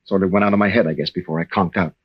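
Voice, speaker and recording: Young American husband, ex-navy, troubled and halting; husky voice, dull vintage sound. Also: male voice, low-pitched, steady tone. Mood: haunted, confessional. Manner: halting, earnest, with stammered restarts. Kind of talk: 1940s-50s radio drama acting. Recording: dull old-time radio recording.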